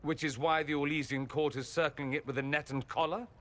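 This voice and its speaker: A man's voice: fairly high pitch, steady tone, brisk, a bit bright, lightly acted. An actor playing a witty, vain male nobleman with a sardonic, theatrical delivery. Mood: wry. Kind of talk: video game cutscene acting.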